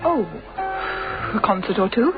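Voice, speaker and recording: An actress playing a polite, evasive, anxious middle-class wife in a radio drama, speaking carefully with a British accent. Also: female voice, medium pitch, lively intonation, measured pace, over music, lightly acted. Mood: light, careful. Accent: British English. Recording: dull, band-limited radio drama sound.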